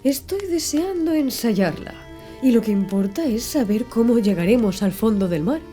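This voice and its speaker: Woman as a courteous, curious professor, mellow voice. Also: fairly high pitch, lively intonation, moderate pace, soft background music, natural voice. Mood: eager, curious. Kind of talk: audiobook character dialogue.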